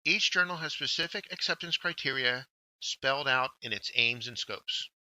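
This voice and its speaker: A man presenting a scripted instructional workshop, with deliberate, emphatic phrasing. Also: mid-range voice, lively intonation, sharp tone, no room sound, rough recording. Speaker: workshop presenter, journal editor.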